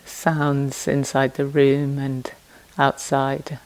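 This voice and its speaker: A woman meditation teacher giving guided instructions in a gentle, unhurried manner, leaving long pauses between phrases. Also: a bass voice, level tone.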